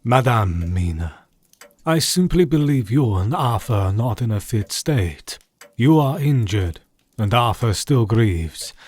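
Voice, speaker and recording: A man as an old, learned vampire-hunting doctor with a mid-pitched voice. He speaks solemnly and formally in an audio drama, recorded dry and close. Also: expressive, measured pace, darkish tone, slightly rough, no echo. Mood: firm, courteous.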